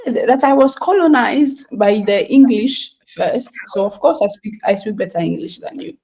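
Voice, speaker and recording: A woman's voice: a little high, animated, moderate pace, a little mellow. A woman in her thirties from Ghana, co-hosting a casual chat with her sister, teasing her and restarting her sentences often. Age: 30s. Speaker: podcast co-host.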